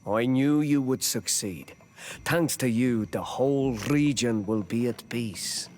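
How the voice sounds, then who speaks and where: An actor playing a courteous, hopeful island king in a video game, speaking in a measured, earnest way. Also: mid-range voice, a little mellow. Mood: hopeful, pleased. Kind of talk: video game dialogue acting.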